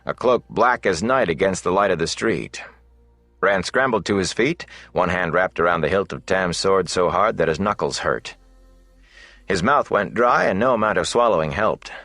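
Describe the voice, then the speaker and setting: A man with a low voice reads a fantasy novel aloud. His narration is measured and dramatic. The recording is dry, with faint music underneath. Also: lively intonation, a bit bright, no room sound. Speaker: audiobook narrator.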